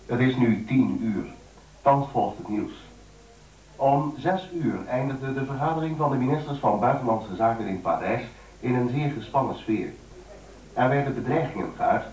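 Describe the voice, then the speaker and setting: An actor playing a grave, formal radio newsreader delivering an old-fashioned bulletin in a radio play. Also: male voice, medium pitch, a little mellow, reverberant, far from the mic, lightly acted, poor audio.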